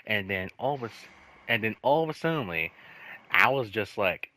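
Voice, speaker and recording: Man, casual storyteller, low-pitched, forceful bright tone, halting, rambling, sweary. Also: expressive. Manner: rambling, halting, repeats filler phrases, swears freely. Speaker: host telling personal work stories.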